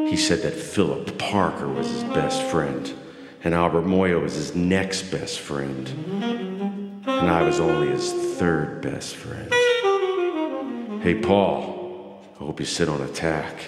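Really low slow guy doing a grumbly kids story reading, loud sax behind him, roomy hall. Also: loud music behind the voice. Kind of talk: dramatic children's story reading over live music. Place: large library event room with audience. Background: loud live saxophone.

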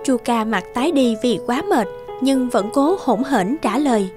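High-pitched woman narrating a fairy tale. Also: lively intonation, unhurried. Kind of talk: scripted fairy-tale narration for children's cartoon. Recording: music clearly behind the voice.

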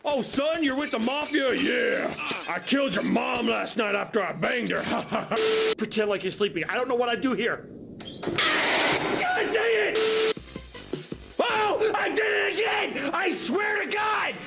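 Loud, crude young gamer shouting. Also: male voice, very high-pitched, big pitch swings, takes it slowly, creaky, over loud music. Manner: rowdy, crude, yelling at the game. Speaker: gaming YouTuber. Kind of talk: loud comedic gameplay commentary. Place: bedroom streaming setup.

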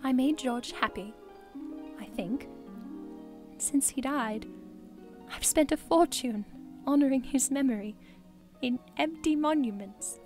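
Woman acting a high-voiced, emotional wealthy widow. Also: slow pace, long pauses, a little mellow, faint music behind. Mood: wistful, subdued. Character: wealthy older widow, wistful, emotional and effusive. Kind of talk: audio drama acting.

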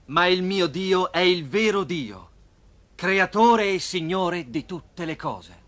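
Casting: devout young slave in animation, high male voice, earnest measured delivery. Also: animated, a bit bright, heavily acted. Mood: devout, firm. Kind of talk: dubbed cartoon acting.

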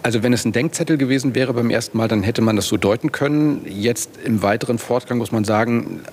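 A middle-aged man, a political reporter, giving a live on-air interview in a halting, reflective way with repeated words. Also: medium pitch, a little mellow. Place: large indoor parliament foyer. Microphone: handheld broadcast microphone. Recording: clear background noise of busy hall.

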